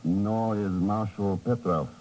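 An actor playing a composed, loyal secret agent in a 1950s radio drama. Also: male voice, fairly low pitch, takes it slowly, darkish tone, a little hiss or hum, poor audio. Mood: assured. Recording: vintage 1950s radio broadcast.

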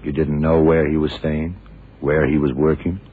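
Super deep gruff fbi man, dry probing questions, old tinny radio drama. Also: level tone, normal speed. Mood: probing, suspicious. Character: gruff, probing middle-aged male FBI investigator. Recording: muffled, noisy 1960s radio drama recording.

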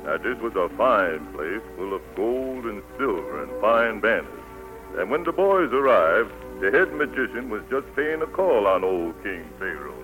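An actor playing a folksy Southern preacher telling a Bible tale in 1940s radio style. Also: male voice, on the low side, normal speed, poor audio. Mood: folksy, animated. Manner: folksy, animated storytelling. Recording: 1940s radio broadcast with music.

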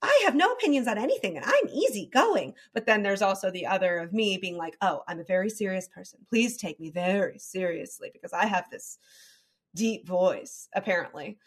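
A woman's voice: medium pitch, animated, brisk, breathy edge. Chatty Southern Californian woman podcaster. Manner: laughs often, chatty and candid. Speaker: podcast host. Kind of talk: casual chat.

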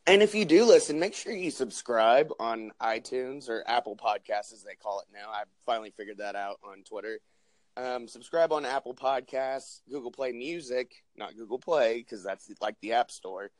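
Hoarse young male co-host, loose and profane, dry close sound. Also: medium pitch, expressive, normal speed, no room sound. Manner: joking, profane, rambling plugs. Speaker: podcast co-host. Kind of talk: casual chat.

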